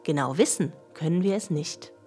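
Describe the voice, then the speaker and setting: A woman's voice: sits low, moderate pace, mellow, faint music behind, close to an everyday voice. A woman playing a matter-of-fact science explainer in a children's radio play, talking to listeners in a didactic way. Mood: instructive. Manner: clear, didactic, addressing listeners directly.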